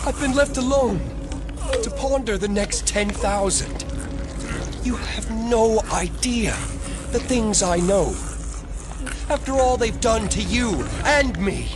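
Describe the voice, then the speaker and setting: An actor playing a solemn, quietly menacing psychic in an audio drama, speaking in portentous statements. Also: male voice, high-pitched, animated, takes it slowly, music in the background. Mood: furious, menacing.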